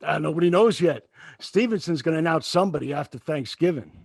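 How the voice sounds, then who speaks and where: An actor playing a chummy, gossipy factory coworker in an old-time radio sitcom. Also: male voice, fairly high pitch, fast, vocal fry, a little mellow, husky, no echo, clean studio sound.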